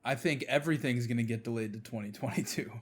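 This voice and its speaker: Male panelist, average pitch, loose and informal gaming podcast banter. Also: quick pace. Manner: informal, sweary. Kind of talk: casual gaming podcast chat.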